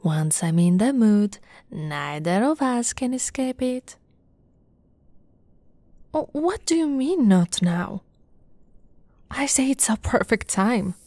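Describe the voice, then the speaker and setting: A young woman playing an affectionate, teasing lamia girlfriend in an ASMR roleplay, soft-spoken and often whispering, with a breathy, coaxing delivery close to the mic. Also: a little high, animated, dry recording, full character voice. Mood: playful, teasing. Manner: slow, breathy, coaxing delivery with pet names. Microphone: close, dry microphone.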